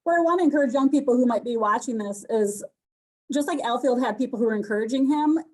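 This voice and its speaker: A woman's voice: fairly high pitch, quick pace, darkish tone. A woman interviewer on a video call asking long, winding, encouraging questions about a guest's career. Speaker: interviewer, host. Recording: video call with unstable connection.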